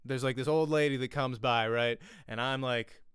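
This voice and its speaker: A man, teaching an informal acting lesson in an animated, conversational way, often checking in with "right?". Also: medium pitch, moderate pace, clear, resonant tone, dry recording, studio quality. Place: small home room, indoors. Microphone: close desk microphone, headset on.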